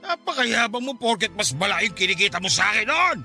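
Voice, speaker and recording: A man's voice: high voice, big pitch swings, fast talker, gravelly, bright, forceful tone, faint music behind, full character voice. A man playing a drunken, domineering husband with wounded pride, barking aggressively in a Filipino radio melodrama. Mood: bitter, angry.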